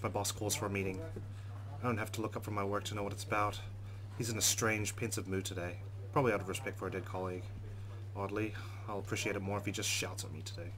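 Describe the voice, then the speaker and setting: Fast-talking low-voiced man reading a story. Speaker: let's play narrator. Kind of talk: dramatic read-aloud of a visual novel.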